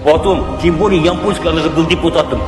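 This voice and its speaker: A man with a high voice protests and exclaims with indignation. His voice echoes through a hall with a long tail. Music plays beneath him. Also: steady tone, quick pace.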